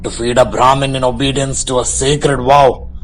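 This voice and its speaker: A man playing the storyteller of a radio drama, narrating in a measured, literary way. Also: medium pitch, little pitch movement, lightly acted, low-quality recording. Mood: measured storytelling. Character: storyteller recounting a tragic marriage. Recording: background music under narration.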